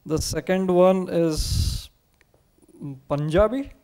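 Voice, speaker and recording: A man's voice: high-pitched, takes it slowly, warm tone, smooth. A young man from India with an Indian English accent, a native Kannada speaker, chatting hesitantly as a guest on a TV studio set. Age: young adult. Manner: hesitant, thinks aloud with many uh's. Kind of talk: casual studio chat. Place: TV studio set, seated on sofa.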